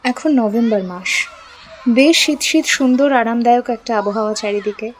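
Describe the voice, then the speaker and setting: A woman's voice: on the high side, normal speed, a faint crowd, a little hiss or hum. A woman reading a scripted audio story as its narrator. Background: background music.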